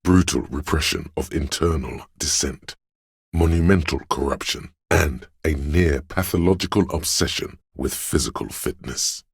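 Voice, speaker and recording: A man voicing an erudite, composed know-it-all inner voice in a video game, delivering measured, precise, matter-of-fact exposition. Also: dry recording, studio quality, heavily acted. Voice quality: very deep, gravelly, husky. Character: encyclopedic inner voice, a learned, composed male know-it-all narrator. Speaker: game voice actor. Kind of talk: scripted game narration, expository.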